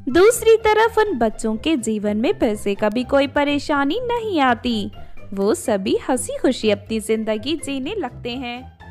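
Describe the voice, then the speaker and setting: A woman's voice: high voice, big pitch swings, a little slow, darkish tone, faint music behind. A woman narrator reading a scripted children's fairy tale.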